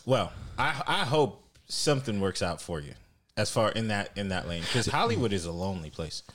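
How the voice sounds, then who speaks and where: Lively, joking lower-voiced man. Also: moderate pace. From Haiti. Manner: joking, teasing banter. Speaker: podcast co-host. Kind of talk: casual chat.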